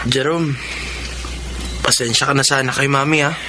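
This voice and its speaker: A man's voice: mid-range voice, steady tone, normal speed, natural voice. An actor playing a friendly young Filipino son, somewhat wary of his mother, in a radio drama. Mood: glad, apologetic. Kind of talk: Tagalog radio drama acting. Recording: noisy ambience behind the voice.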